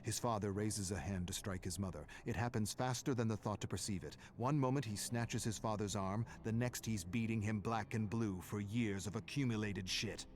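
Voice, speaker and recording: A man reading a dramatic scripted story in a measured, brooding way, in short clipped phrases. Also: on the low side, slight background noise. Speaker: narrator.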